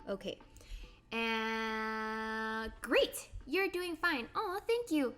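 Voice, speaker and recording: A woman's voice: a little high, drawn-out words, fairly bright tone. A woman in her late twenties hosting a livestream, chatting casually and bubbly while she draws and reads out viewer comments. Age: late 20s. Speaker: livestream host. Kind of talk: casual chat while drawing on stream.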